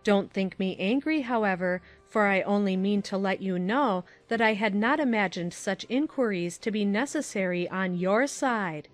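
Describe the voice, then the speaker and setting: A woman playing a sensible, kindly aunt who reads out her letter in a dramatized audiobook, measured, formal and candid. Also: mid-range voice, soft background music, lightly acted. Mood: composed, candid.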